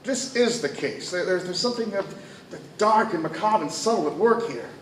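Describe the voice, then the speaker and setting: An actor plays an obsessed detective in a radio drama, urgent and stammering. His pitch is high and swings widely. The sound is strongly echoey. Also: lively intonation, moderate pace, a little hiss or hum. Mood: obsessed, insistent. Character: excitable, obsessed detective hunting the hidden truth. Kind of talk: radio-play dialogue. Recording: echoey, distant stage recording.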